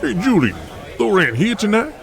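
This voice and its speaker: A man's voice: sits high, huge pitch swings, normal speed, gravelly, over music, heavily acted. A man playing a concerned, talkative pro wrestler in an audio drama, informal, exclamatory and full of questions.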